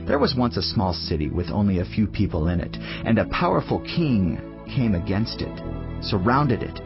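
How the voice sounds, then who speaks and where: A man playing a weary, reflective teacher of wisdom in a dramatised audio Bible, husky-voiced, with a measured, earnest, declamatory delivery. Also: fairly low pitch, very animated, dull audio. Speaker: scripture narrator. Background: music.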